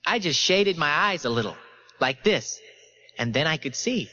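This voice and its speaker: A man voicing an unstable teenage schoolboy in an audiobook, waggish and energetic, with a false, eerie confidence. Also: a little high, normal speed, lo-fi sound, pitched above the performer's own voice. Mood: eager, confident. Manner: energetic, eerily bright, clear. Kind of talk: audiobook character reading.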